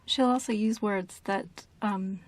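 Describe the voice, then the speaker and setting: A woman's voice: mid-range voice, measured pace. An American woman explaining things steadily as an interview guest, with frequent fillers. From United States. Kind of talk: relaxed conversational interview.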